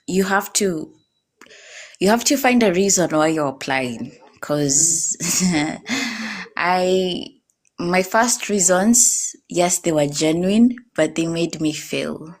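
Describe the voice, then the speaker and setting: A woman's voice: fairly low pitch, lively intonation, slow pace. A young Kenyan woman, a guest, giving earnest advice and repeating her key points. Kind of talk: interview testimonial.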